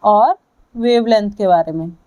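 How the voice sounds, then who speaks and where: A woman with an Indian accent lecturing to students, explaining and dictating key points in halting, deliberate phrases with frequent check-ins. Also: medium pitch, a little mellow, muffled recording. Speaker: physiotherapy lecturer.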